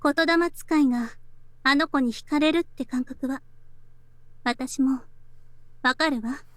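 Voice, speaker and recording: A woman's voice: sits high, moderate pace, fairly bright tone, no room sound. A woman voice-acting a sly, teasing and perceptive younger sister in a Japanese visual novel. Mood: calm, knowing. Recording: game audio with music behind.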